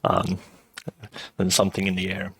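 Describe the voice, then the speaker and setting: A middle-aged man with a slightly hoarse voice, giving a recorded math lecture over slides in a relaxed, thinking-aloud way, often checking in with "right?". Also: sits low, normal speed. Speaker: math professor.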